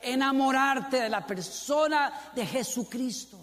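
Costa rican pastor guy preaching, kinda high voice, lots of repeating for emphasis, big echoey hall. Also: slow, a bit bright. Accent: Costa Rican Spanish. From Costa Rica. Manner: building to fervent emphasis with repeated phrases; asks the congregation questions. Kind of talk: sermon. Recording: long hall reverb.

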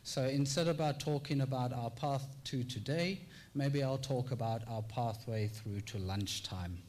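A man from South Africa with a South African accent, giving a measured, formal conference presentation and using rhetorical repetition. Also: medium pitch, a little mellow. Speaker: tax clinic presenter.

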